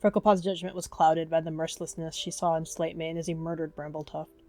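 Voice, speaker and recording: A woman's voice: low-pitched, little pitch movement, fast talker, darkish tone, dry recording. A woman narrating a scripted third-person animal story as part of a roleplay. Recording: music behind.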